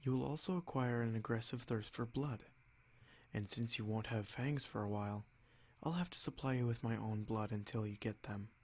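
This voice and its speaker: A haughty male vampire noble, acted by a man for an ASMR roleplay. He speaks at a middling pitch in a measured, condescending way. His calm carries a soft threat, possessive and sure of his rank. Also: natural voice. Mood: explaining, condescending.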